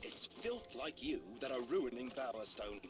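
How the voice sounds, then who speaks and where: A man playing a brisk, authoritative town guard, dutiful and upright, in a theatrical puppet show. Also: mid-range voice, very animated, heavy background noise, close to an everyday voice. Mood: stern, commanding. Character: stern, dutiful male town guard, kindly to children. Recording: loud music behind.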